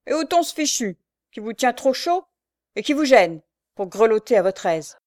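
A woman voicing a harsh, coarse old beggar mother from a story, shrill and snarling in her lower-class speech. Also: animated, normal speed, darkish tone, no room sound, clean studio sound, natural voice. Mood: bullying. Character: shrewish old beggar mother, toothless, harsh, brandy-soaked.

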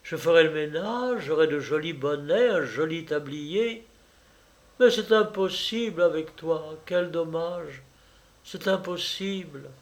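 A man reading aloud and voicing a capricious, tearful young woman who coaxes her lover for money, in a slow storybook reading. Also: fairly high pitch, voice pushed up. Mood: playful. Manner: pleading, wheedling, sobbing.

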